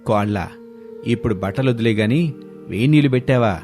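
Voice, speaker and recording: An older village father-in-law put on by a man narrating. He is homely and gently commanding, pitched down low in a rustic storybook manner. Music plays behind him. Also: brisk, close to an everyday voice. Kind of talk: storybook character voice.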